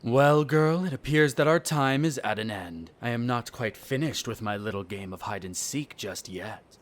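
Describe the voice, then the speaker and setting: A man's voice: medium pitch, moderate pace, natural voice. A man acting a smug, contemptuous aristocratic vampire in an audio roleplay, with formal, disdainful diction. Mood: wry, dismissive.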